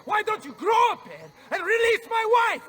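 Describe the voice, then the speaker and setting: An actor playing a blustering, hot-tempered Italian mercenary general in a video game, with an Italian accent and a bombastic, theatrical manner. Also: male voice, very high voice, big pitch swings, moderate pace, sharp tone, recorded from a distance, heavily acted. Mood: furious, defiant. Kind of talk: dramatic video game acting.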